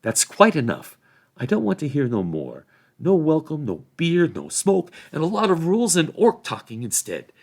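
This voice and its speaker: A man's voice: a little high, wildly swooping pitch, normal speed, vocal fry, a little mellow, voice pushed up, full character voice. A man reading a storybook, voicing a loyal, hot-tempered rustic young hobbit who scolds bluntly in folksy speech. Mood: indignant.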